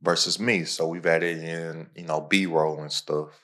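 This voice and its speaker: A man hosting a podcast in an energetic, informal, motivational way. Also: unhurried. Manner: energetic, informal, pitches his own program. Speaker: podcast host. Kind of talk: casual motivational podcast talk.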